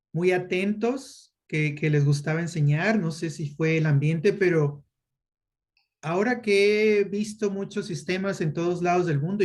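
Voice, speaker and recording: A man with a Mexican accent, a professor being interviewed, answering conversationally and often searching for words. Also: fairly high pitch. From Oaxaca, Mexico. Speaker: guest, university professor and researcher.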